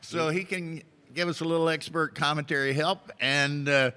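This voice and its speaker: Bright-voiced man commentating in an echoey hall. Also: a little high, steady tone, normal speed, clear, resonant tone. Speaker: commentator, host. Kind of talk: live backgammon commentary, conversational. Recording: echoey hall with long reverb.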